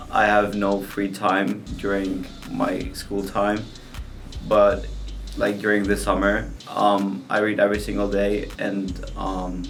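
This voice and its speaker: A nineteen-year-old man, a student, answering earnestly and hesitantly with many ums. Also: on the low side. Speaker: student interviewee. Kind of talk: casual street interview.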